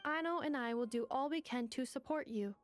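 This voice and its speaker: A woman's voice: sits high, fairly quick, soft background music, lightly acted. An actress playing an earnest, supportive young female researcher in a video game, speaking formally.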